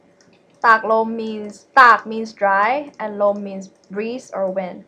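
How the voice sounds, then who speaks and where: A woman's voice: medium pitch, fairly even intonation, fairly quick, bright, forceful tone. A young woman with a Thai accent, a Thai native speaker, casually teaching Thai on a livestream from a small bedroom, chatty with lots of fillers and restarts. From Thailand. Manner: chatty, laughs often, many fillers and restarts.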